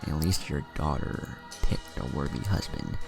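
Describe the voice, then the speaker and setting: Man as a deep, stern clan elder. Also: very animated, unhurried, creaky, loud music behind the voice, full character voice. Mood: solemn.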